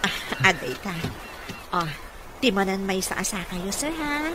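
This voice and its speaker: An actress playing a dutiful, encouraging caregiver in an Ilocano-accented radio drama. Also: female voice, mid-range voice, expressive, unhurried, background noise, natural voice. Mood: coaching, encouraging. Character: dutiful, encouraging young woman caregiver to a wheelchair-bound boss. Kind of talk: Filipino radio comedy-drama acting.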